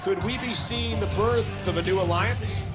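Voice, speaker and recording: A man voicing an upbeat wrestling announcer, high-voiced. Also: moderate pace, loud music behind the voice, natural voice. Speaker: play-by-play commentator. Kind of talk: scripted game commentary.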